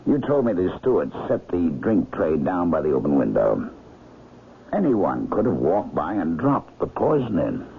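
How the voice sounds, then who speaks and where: Deep, gravelly thoughtful doctor sleuth. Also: male voice, big pitch swings, moderate pace, exaggerated voice. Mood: pondering. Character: thoughtful, loyal middle-aged doctor friend, amateur sleuth. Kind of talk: radio mystery drama acting. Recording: muffled, noisy old radio drama recording.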